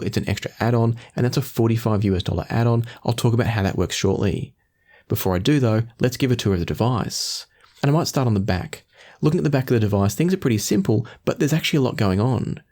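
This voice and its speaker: Man, quick delivery, slightly low voice, conversational tech review, explanatory. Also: darkish tone. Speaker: audio gear reviewer. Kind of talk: product review walkthrough.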